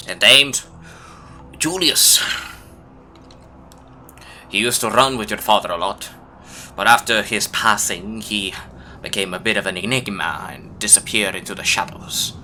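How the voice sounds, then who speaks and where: A game master voicing a cagey older man who once knew the hero's late father and talks in a slow, lecturing way. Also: fairly low pitch, pushed and bright, soft background music. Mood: guarded. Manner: cagey, lecturing, speaks slowly.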